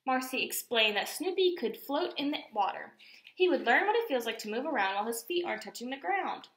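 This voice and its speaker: A woman reading a children's picture book aloud, with expressive storytelling narration. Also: sits high, fairly quick. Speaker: storybook reader. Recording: echoey, roomy sound.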